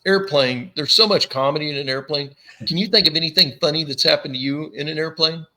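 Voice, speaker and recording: An animated male podcast host with a bright, forceful tone and a slightly raised pitch. He tells funny stories in a loose, chatty way and acts out the people in them. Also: fast talker, poor audio. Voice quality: low commanding voice. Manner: animated storyteller, acts out voices. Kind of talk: casual storytelling chat.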